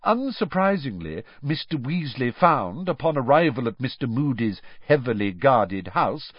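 A smug, sneering schoolboy bully, as a male reader puts him on, pitched a little high and crowing loudly in a mocking voice. His delivery swings up and down with relish. The sound is dry and a touch dull. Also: wildly swooping pitch, takes it slowly, dry recording, exaggerated voice. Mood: mock-reading aloud. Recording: dry, slightly dull studio recording.

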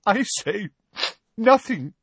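A man voicing a hysterical, theatrical woman seer and teacher, high-pitched and wavering, full of wounded indignation in a storybook reading. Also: very animated, breathy edge, no echo, studio quality, heavily acted. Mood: choked outrage. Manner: melodramatic, drawn-out, throbbing with emotion.

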